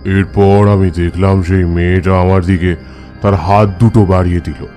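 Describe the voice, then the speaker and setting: Man as mellow-voiced tantric ascetic telling his tale. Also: sits low, flat delivery, moderate pace, faint music behind, close to an everyday voice. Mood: awed, suspenseful. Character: devout male tantric ascetic recounting his eerie encounters. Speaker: first-person narrator. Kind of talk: dramatic scripted horror narration.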